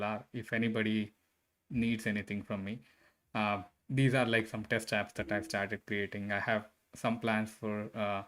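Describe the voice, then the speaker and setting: A man's voice: lowish voice, fairly bright tone. A man, chatting casually with viewers on a live coding stream, hesitant and friendly, with many fillers and restarts. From Bangalore, India. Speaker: livestreaming software engineer. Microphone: desk mic set a bit far.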